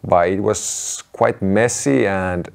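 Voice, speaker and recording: A man in his thirties giving a measured, matter-of-fact explanatory talk to camera as a presenter. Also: low-pitched, gravelly.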